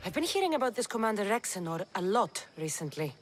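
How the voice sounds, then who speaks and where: A woman's voice: medium pitch, sing-song, fast talker, faint music behind, close to an everyday voice. A woman playing a determined, businesslike mercenary heroine in a video game, firm and direct. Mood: purposeful. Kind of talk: video game character acting.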